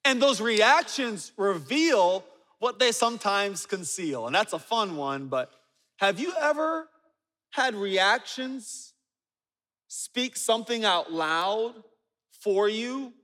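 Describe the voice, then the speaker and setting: A man in his forties preaching a sermon, telling stories with humor and dropping low and quiet for asides. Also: expressive, slow. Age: 40s. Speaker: pastor. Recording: reverberant hall.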